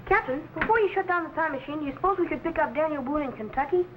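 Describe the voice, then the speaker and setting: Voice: high-pitched, racing, lightly acted. A young performer playing a keen, respectful boy assistant in a 1950s radio sci-fi show, earnest and obedient. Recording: dull lo-fi old radio, faint noise.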